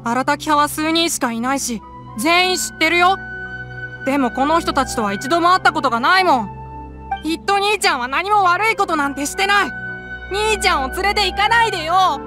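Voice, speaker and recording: An earnest, stubborn young boy who pleads his case with conviction. His voice is very high. Game music plays in the background. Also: animated, normal speed. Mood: pleading. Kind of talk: game voice acting.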